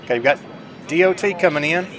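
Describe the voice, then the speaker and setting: A man's voice: fairly high pitch, fast, fairly bright tone, faint music behind. A middle-aged man giving casual, folksy gameplay commentary, chatting informally to his viewers. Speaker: gaming streamer. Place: indoors at a computer desk, webcam.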